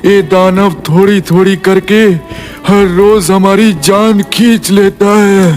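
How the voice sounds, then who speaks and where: Man as a high-voiced, weary old captive. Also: steady tone, slow pace, darkish tone, soft background music. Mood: sorrowful. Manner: weary, lamenting. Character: weary, suffering old male captive villager. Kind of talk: Hindi animated storybook acting.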